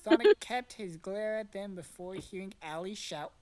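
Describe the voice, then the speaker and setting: A woman's voice: mid-range voice, moderate pace, dark tone. A young woman narrating fan fiction in a dramatic but casual, jokey storytelling style. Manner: dramatic storytelling, profane asides. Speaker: narrator and podcast cast member.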